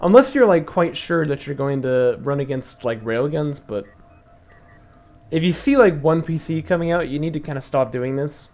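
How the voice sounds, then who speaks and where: Young man, slightly raspy voice, somewhat high pitch, casual stream teaching, chatty, many fillers and laughs. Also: expressive, moderate pace, a little mellow, faint music behind. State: slightly raspy from long talking. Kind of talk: casual live-stream commentary.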